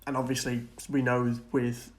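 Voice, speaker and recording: A man with a medium-pitched voice talks as a podcast co-host. His delivery is informal and enthusiastic, in the style of a casual chat about screen entertainment. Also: little pitch movement, moderate pace. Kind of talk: casual film and TV discussion.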